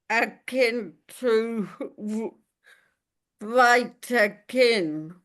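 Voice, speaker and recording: Woman reading poetry, extremely slow and halting. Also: a little high, a bit bright. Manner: halting, short broken phrases with long pauses. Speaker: poet reading own work. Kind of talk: poetry reading, lament addressed to God.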